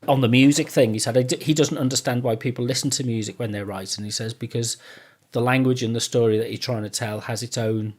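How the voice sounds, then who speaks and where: A man with a medium-pitched voice chats as a podcast host. He talks in a loose, rambling manner, circling back over phrases as he feels his way through a thought. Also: fairly even intonation, fast. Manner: rambling, tentative, often repeats phrases. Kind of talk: casual interview chat.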